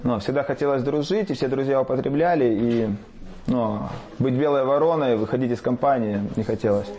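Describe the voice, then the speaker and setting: Hesitant man in his thirties, dark voice. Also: medium pitch, a little fast. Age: 30s. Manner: hesitant, full of fillers. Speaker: interviewee in rehab. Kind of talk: candid interview answer.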